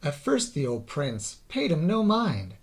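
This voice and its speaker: A man narrating a rhyming children's story in a theatrical, deliberate way, drawing out the ends of phrases. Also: fairly high pitch, huge pitch swings. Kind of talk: dramatic scripted children's story narration in rhyme.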